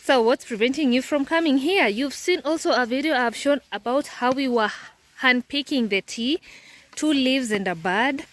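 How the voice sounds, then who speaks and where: A woman's voice: high voice, normal speed. A woman hosting a casual vlog on a farm tour, chatty, laughing often and talking to her viewers. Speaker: vlogger host. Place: outdoors on a rural tea farm.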